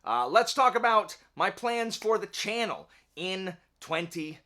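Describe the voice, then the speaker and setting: A man's voice: sits high, lively intonation, unhurried, over loud music. A man chatting casually to camera as a channel host, rambling along in a wry, self-deprecating way. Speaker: YouTube book channel host. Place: indoor home office.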